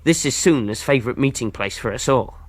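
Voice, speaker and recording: A man's voice: mid-range voice, expressive, brisk, heavy background noise, close to an everyday voice. An actor playing an eager, naive ship's boy, plainly telling his adventure in a radio drama. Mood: earnest. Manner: youthful, plain-spoken storytelling. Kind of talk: radio drama acting and narration.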